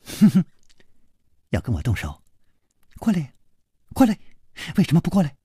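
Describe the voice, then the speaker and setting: Man playing a sneering masked assassin, husky. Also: a little high, huge pitch swings, normal speed, warm tone, dry recording, studio quality, pitched above the performer's own voice, full character voice. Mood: cold mockery. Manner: sneering, taunting. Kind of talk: Chinese wuxia audiobook storytelling.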